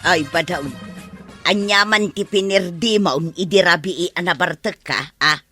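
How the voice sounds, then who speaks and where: A woman's voice: fairly low pitch, measured pace, bright, forceful tone, faint music behind. A woman playing an indignant villager who scolds, in an Ilocano comedy radio drama, with an Ilocano accent.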